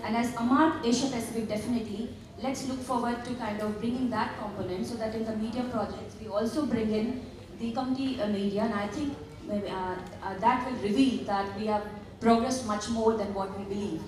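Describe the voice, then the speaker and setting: A woman moderating a conference panel, thoughtful and pausing with fillers. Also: fairly high pitch, fairly even intonation, a crowd in the background. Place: large conference hall. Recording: echoey hall from far off, noisy.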